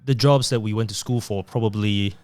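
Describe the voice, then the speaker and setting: Low, smooth-voiced man, dry recording. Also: brisk, no echo. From born in Taiwan, raised in Singapore. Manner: relaxed, chatty, self-deprecating. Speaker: podcast guest, digital marketer. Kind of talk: casual interview conversation.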